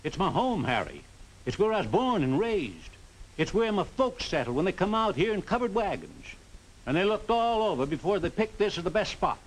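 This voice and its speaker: An actor playing a stubborn, proud rural old-timer in a 1950s film drama, folksy and plain-spoken, on an old soundtrack. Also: male voice, high voice, sing-song, moderate pace, a little hiss or hum, rough recording.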